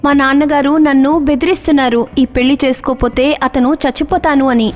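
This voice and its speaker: A woman playing a fretful young village bride-to-be in an animated moral story. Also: high-pitched, fast talker, close to an everyday voice. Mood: distressed, resigned. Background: faint music.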